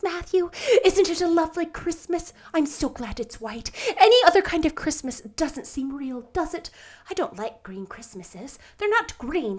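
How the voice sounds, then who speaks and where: A woman voicing an excitable, high-pitched young girl. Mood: joyful. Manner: effusive, rapturous exclamations. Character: excitable, gushing young girl, romantic and grateful. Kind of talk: storybook narration character voice.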